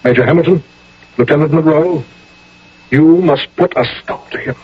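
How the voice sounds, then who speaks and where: A man acting a grave, commanding general in a radio drama, speaking with measured authority. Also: fairly high pitch, noisy background, close to an everyday voice. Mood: stern, resolute. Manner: measured, authoritative interrogation and orders. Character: grave, commanding male general of the Revolutionary War. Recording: muffled old radio broadcast.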